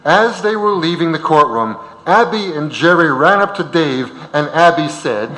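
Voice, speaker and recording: Male stage narrator, slightly high forceful voice, reverberant hall. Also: lively intonation, normal speed. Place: large auditorium. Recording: reverberant auditorium.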